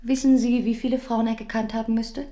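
A woman's voice: on the high side, level tone, brisk, dark tone, natural voice. An actress playing a witty, coquettish young noblewoman in a German radio drama, coy and teasing. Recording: echoey, reverberant hall sound.

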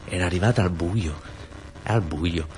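A man's voice: low-pitched, normal speed, a little mellow, poor audio. A man with a southern Italian accent performs a narrative monologue, repeating short phrases in an incantatory rhythm. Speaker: actor and storyteller in a radio theatre monologue.

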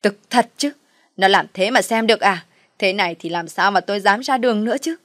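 Woman plays domineering middle-aged male patriarch, gruff and irate, audio drama, dry sound. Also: fairly low pitch, fairly even intonation, brisk, fairly bright tone, no room sound. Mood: angry, bitter.

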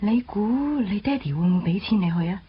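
A woman's voice: medium pitch, fast, a little hiss or hum, natural voice. An actress playing a bold, teasing teenage schoolgirl in a Hong Kong Cantonese radio drama. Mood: coy. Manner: blunt, teasing, girlish. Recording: slightly dull lo-fi radio audio.

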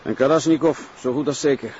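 A man's voice: medium pitch, fast talker, darkish tone, natural voice. Methodical Flemish forensic policeman, played by a man. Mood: matter-of-fact. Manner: factual, methodical. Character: unhurried male forensic police technician. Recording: dull location sound, faint noise.

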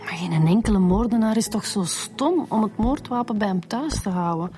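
An actress with a Flemish accent playing an informal, questioning police detective in a radio drama. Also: female voice, mid-range voice, moderate pace, warm tone, close to an everyday voice.

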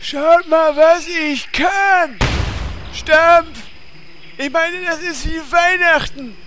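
A man voicing a crude, gleeful beast with a tail, boasting in a silly, excitable way. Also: very high-pitched, drawn-out words, a little hiss or hum, heavily acted. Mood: excited.

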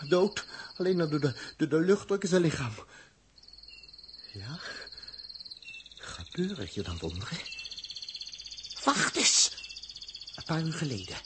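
Space crewman: grieving, defiant, explosive; highish, swinging voice; old radio drama with faint noise. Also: sing-song. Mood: agitated. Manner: emotional, explosive, halting repetitions. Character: grieving, hot-tempered male space crewman, bitter and defiant. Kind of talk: 1960s radio drama acting. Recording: noisy 1960s radio recording.

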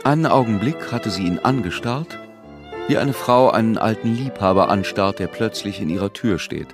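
An actor playing a sombre storyteller, giving measured, scripted literary narration in a radio play. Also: male voice, lowish voice, expressive, over music. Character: sombre storyteller narrating a town's strange decline.

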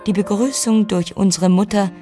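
A woman's voice: mid-range voice, steady tone, normal speed, dark tone, natural voice. An actress playing a young Regency sister who narrates her family's story in a composed, literary radio-drama style. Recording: clear music under the voice. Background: music.

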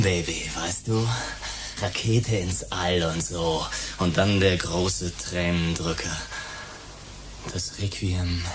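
Here is a vain, scheming rock star from a science-fiction radio play. His voice sits on the low side and he takes his time, sprinkling English showbiz slang into self-pitying talk. There is clear noise in the recording. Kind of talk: science-fiction radio crime drama acting.

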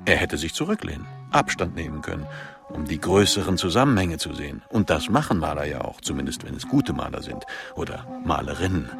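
An actor playing a contemplative man who muses and lectures deliberately in a radio drama monologue. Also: low-pitched, vocal fry. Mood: musing. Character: contemplative man musing on art and myth.

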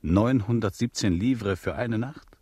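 An actor playing a sly, worldly police lieutenant in a German radio play, measured, knowing and commanding. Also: male voice, on the low side, darkish tone, husky, no echo, clean studio sound.

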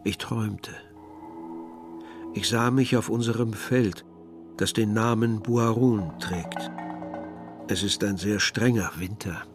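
A young villager narrates a radio drama in a fairly low voice. He speaks in measured, literary sentences, like someone recounting a grim journey. Music plays behind him. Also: fairly even intonation. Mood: uneasy. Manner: measured, literary first-person storytelling.

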